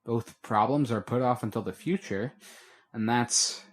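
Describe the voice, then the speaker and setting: A man voicing Matthew, a weary, guarded young man who explains things in a measured way. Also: medium pitch, natural voice. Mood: earnest.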